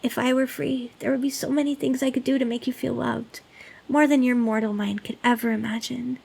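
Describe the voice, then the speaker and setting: A woman voicing a lonely, sweetly clingy demon in an ASMR roleplay, speaking to the listener in a gentle, pleading, earnest way. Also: fairly high pitch, fairly even intonation, a little fast, gravelly, husky. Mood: devoted, yearning. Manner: gentle, pleading, earnest, with hesitant stammers and hushed dips.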